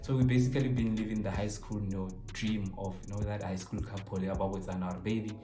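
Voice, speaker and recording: A man's voice: on the low side, flat delivery, fast talker. A South African man in his twenties chatting casually and jokingly to camera, switching between languages. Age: 20s. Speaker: YouTuber answering viewer questions. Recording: roomy echo, faint music behind.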